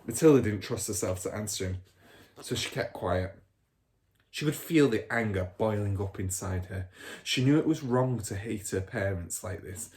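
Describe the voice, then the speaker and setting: A man's voice: fairly low pitch, a little mellow. A man reading a children's storybook aloud expressively, like a primary school teacher.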